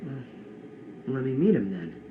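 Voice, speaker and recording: A man's voice: mid-range voice, sing-song, takes it slowly, narrow, tinny audio, slight background noise. A man playing an impulsive, hurt but devoted young male hero in an audio drama, boyish and emotional. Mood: hurt, stunned. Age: young adult.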